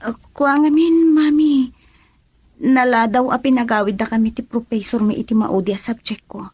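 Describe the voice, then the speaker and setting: Young female student, radio drama; earnest, evasive, higher voice, dry studio sound. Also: normal speed, darkish tone, breathy edge, no echo. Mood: nervous, evasive. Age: young adult. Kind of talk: Ilocano radio drama acting.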